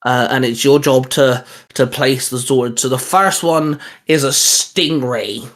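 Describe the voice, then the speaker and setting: A man's voice: mid-range voice, unhurried, a bit bright. A man hosting a casual fan discussion in a chatty, informal way. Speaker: host.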